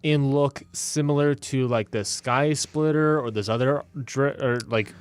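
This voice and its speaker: Male tabletop player, medium pitch. Casual banter, halting delivery, sardonic and foul-mouthed. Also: clear, resonant tone, dry recording. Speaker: player in a tabletop game podcast.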